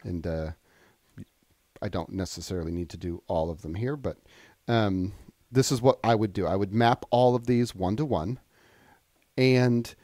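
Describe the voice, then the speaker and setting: A man demonstrating software in a casual, conversational tutorial style, explaining things informally step by step. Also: mid-range voice, no echo, clean studio sound.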